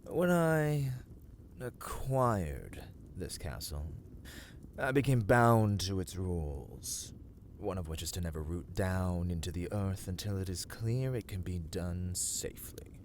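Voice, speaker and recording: An ancient, predatory vampire lord for an ASMR fantasy roleplay. His voice sits low and moves very slowly, with formal, theatrical phrasing that often sinks into whispers. He is imperious yet coldly courteous. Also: expressive, darkish tone, a little hiss or hum, exaggerated voice. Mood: grave, commanding. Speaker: voice actor in audio roleplay.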